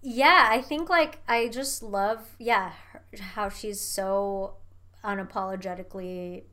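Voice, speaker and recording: A woman's voice: medium pitch, slow pace. Chatty young woman from Indonesia. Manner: animated, fillers like "like" and "you know what I mean", swears casually. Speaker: guest. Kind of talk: casual chat.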